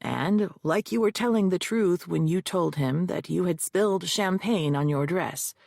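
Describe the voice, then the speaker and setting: A cold, needling male defense lawyer, read by a woman who grinds out every question in a low, gravelly voice. The sound is close and bone-dry, as in a studio audiobook reading. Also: measured pace, darkish tone, no room sound. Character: aggressive male defense lawyer cross-examining.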